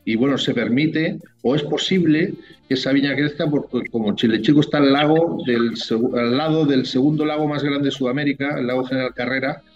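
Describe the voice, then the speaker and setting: A man's voice: mid-range voice, muffled recording. A man from Spain with a Spanish accent, a winemaker talking casually as an interview guest, rambling and full of tag questions.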